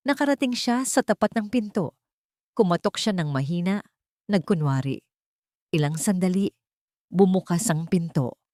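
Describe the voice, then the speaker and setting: Lowish-voiced woman narrating a dramatic story. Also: a little mellow, no room sound, studio quality. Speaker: audiobook narrator.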